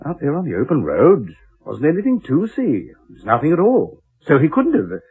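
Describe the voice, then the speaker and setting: A man's voice: fairly high pitch, huge pitch swings, brisk, breathy edge, heavily acted. An actor in a radio drama playing a nervous, evasive married Englishman with a hesitant, polite, stammering way of talking. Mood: flustered. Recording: muffled, narrow-band old radio recording.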